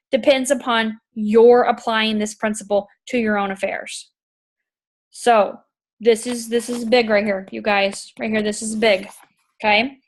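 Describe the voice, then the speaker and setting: A woman hosting a chat show, reading from a book and explaining it in an enthusiastic, emphatic way that repeats points, with a tickle in her throat. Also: a little high. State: throat tickle, occasional coughs. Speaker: podcast host.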